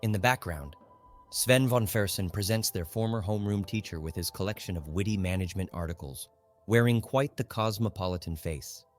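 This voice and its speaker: A man's voice: low-pitched, fairly quick, faint music behind. A man narrating a literary audiobook in a scripted, dramatic storytelling style.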